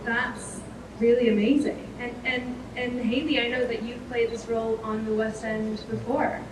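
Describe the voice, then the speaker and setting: A woman's voice: fairly high pitch, flat delivery. A woman interviewer asking questions in a casual, enthusiastic way. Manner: enthusiastic, quick to praise. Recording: echoey, distant, noisy ambience.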